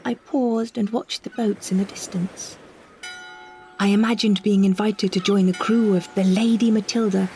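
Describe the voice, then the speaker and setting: A British woman narrates a first-person audio story at a middle pitch. Her delivery is measured and expressive, with careful storyteller's phrasing. Clear ambient noise sits behind her voice. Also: poor audio.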